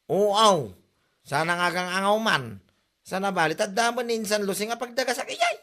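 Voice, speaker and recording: A woman's voice: mid-range voice, big pitch swings, normal speed, fairly bright tone. A woman with an Ilocano accent playing a boastful, daydreaming husband in a comedy drama.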